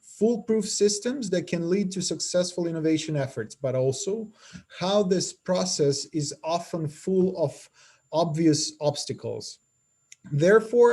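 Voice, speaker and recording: Serbian-accented male host, mellow voice. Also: fairly high pitch. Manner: formal, scripted introduction, with some hesitations. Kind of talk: webinar introduction.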